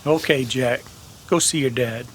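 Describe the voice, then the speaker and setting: A man playing a wry, steady Old West bounty hunter in a radio western, drawling and sardonic. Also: mid-range voice, a little slow, slight background noise, voice pushed up, close to an everyday voice. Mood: calm. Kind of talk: old-time western radio drama acting.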